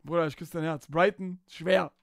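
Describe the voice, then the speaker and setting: A young man streaming from a small room at home, talking close into a boom mic as he reacts to a video. He talks casually, repeats himself and laughs quickly. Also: fairly even intonation, creaky, noisy background. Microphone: boom mic close in front.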